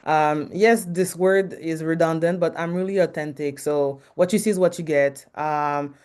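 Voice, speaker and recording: A loud, frank French-Canadian woman speaks in a fairly low voice as a podcast guest. She hesitates often, searching for words with ums. She talks openly about her work, and her speech has the plain feel of an interview conversation. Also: fairly even intonation, normal speed, clear, resonant tone. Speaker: podcast guest, marketing advisor.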